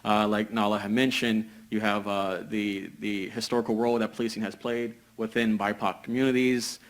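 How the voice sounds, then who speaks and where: A young man from a business school speaking on a panel, measured, with many "uh" hesitations. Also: on the low side, flat delivery. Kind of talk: panel discussion.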